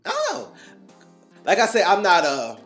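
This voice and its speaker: A man co-hosting a casual chat podcast, animated and quick to laugh, with faint guitar music underneath. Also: high-pitched. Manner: animated, laughs often, drawn-out emphasis. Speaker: podcast co-host.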